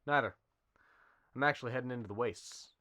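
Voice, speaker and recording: Actor as quick-talking, laconic travelling contractor man. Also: medium pitch, sharp tone, close to an everyday voice. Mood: easygoing. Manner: casual, understated. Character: laconic, easygoing male contractor travelling alone on a job. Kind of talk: amateur western film acting.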